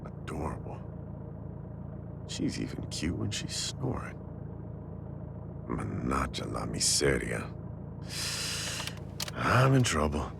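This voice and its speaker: A man playing a warm, teasing restaurant owner with a secret crush in a casual, intimate boyfriend audio roleplay. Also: a bass voice, very animated, slow, gravelly, long pauses, very noisy, heavily acted. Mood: smitten, quiet.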